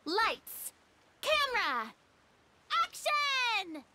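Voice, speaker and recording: An actress playing an enthusiastic film director who speaks energetically and commandingly. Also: female voice, extremely high pitch, big pitch swings, very slow, full character voice. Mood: upbeat. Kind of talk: video game voice acting.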